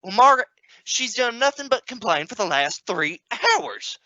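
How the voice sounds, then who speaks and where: A man's voice: high voice, lively intonation, moderate pace, gravelly, sharp tone, dry recording, exaggerated voice. A man playing an exasperated, sarcastic father of three in an old-time radio comedy. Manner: sarcastic, scolding. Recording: dull, noisy home-made remote recording.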